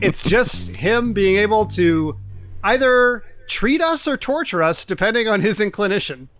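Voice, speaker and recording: A man's voice: high voice, animated, measured pace, a bit bright, dry recording, soft background music. A man co-hosting a podcast, bantering about films in a wry, casual way. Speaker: podcast co-host.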